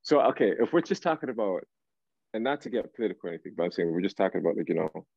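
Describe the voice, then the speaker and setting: A low-voiced man talks loosely as a guest on a video call. He is slangy and halting, circling back as he hunts for words. The sound is close and dry but a bit lo-fi. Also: big pitch swings, creaky, darkish tone, no room sound. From Canada, raised in Toronto. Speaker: guest R&B singer. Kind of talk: casual interview chat. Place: small room, indoors. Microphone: video call, device at arm's length.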